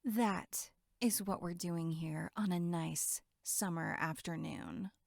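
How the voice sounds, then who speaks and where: A woman's voice: lowish voice, slow pace, gravelly, a little mellow, dry recording, clean studio sound, exaggerated voice. A woman playing a brash, sarcastic young camp counselor in a horror audio drama, snarky and blunt. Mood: exasperated, snarky. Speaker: voice actor.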